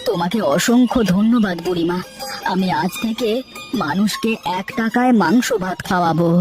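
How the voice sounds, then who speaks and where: A woman doing a poor village man in a cartoon who is humble, polite and pleading. The voice sits at a middle pitch, with music underneath. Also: normal speed, lightly acted. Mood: kind. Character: poor, pleading working man, the hotel keeper. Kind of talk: cartoon voice acting. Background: background music.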